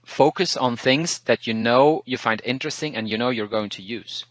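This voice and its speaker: A man from Belgium with a Belgian Dutch accent whose first language is Dutch, a language teacher talking through learning tips in a relaxed, thoughtful way, pausing and restarting words. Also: medium pitch, no room sound, rough recording. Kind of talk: interview, casual advice.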